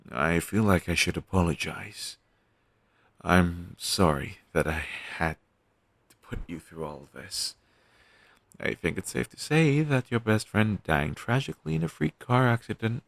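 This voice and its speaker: A man playing a pessimistic zombie best friend with dark sarcasm, speaking haltingly in an intimate audio roleplay. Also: low-pitched, creaky, no echo, full character voice. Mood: wry, apologetic. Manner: halting, sighing, sardonic delivery with trailing hesitations.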